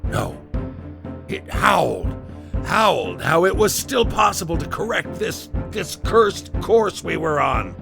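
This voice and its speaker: A haunted labourer confessing a grim tale in an audio drama. His delivery is slow and halting, with a low, gravelly voice and theatrical intensity. Music plays behind him. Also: big pitch swings, exaggerated voice. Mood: anguished, resolute. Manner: intense, halting, theatrical storytelling with wide emotional swings. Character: haunted male construction worker recounting a grim deadly gamble. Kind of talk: dramatic first-person audio drama monologue.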